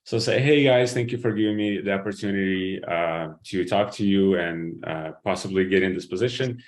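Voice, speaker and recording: Deep-voiced man on a call. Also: a little fast. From Ukraine. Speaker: guest, QA engineer. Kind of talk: casual interview answers.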